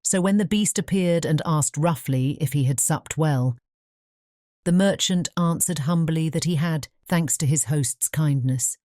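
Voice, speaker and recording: A woman's voice: deep voice, unhurried, mellow, no room sound, studio quality. A woman narrating a fairy tale audiobook from a script.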